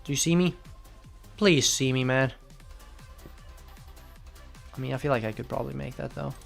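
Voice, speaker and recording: Excitable young man streaming. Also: mid-range voice, normal speed, vocal fry, soft background music. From Finland. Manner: chatty, bursts into loud excited outbursts. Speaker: game streamer. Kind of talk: casual live gameplay commentary.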